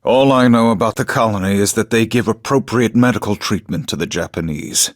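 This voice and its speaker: A male actor voicing a weary, defeated war survivor, gruff and resigned, in a video game cutscene. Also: on the low side, a little fast, dry recording, clean studio sound, close to an everyday voice. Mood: bewildered, defensive.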